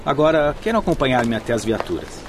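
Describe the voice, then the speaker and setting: A man's voice: medium pitch, sing-song, fast talker, background noise, close to an everyday voice. An actor playing a courteous, orderly male guide in a radio drama, speaking formal, authoritative Portuguese with a Brazilian accent. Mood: firm, explanatory. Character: courteous, orderly male instructor guiding spirit newcomers.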